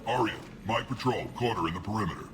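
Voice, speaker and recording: A man's voice: fairly low pitch, quick pace, noisy background, natural voice. A man playing a dutiful alien guard in a video game, with a formal, clipped delivery. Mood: urgent, formal. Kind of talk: video game cutscene acting.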